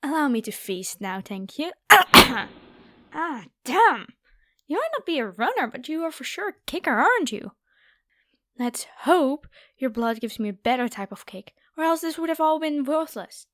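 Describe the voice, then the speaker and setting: A woman's voice: high voice, sing-song, moderate pace, sharp tone, no echo, clean studio sound, full character voice. A woman playing a haughty, predatory noble vampire girl in an ASMR roleplay, with a taunting, playful, theatrical delivery. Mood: mocking, domineering.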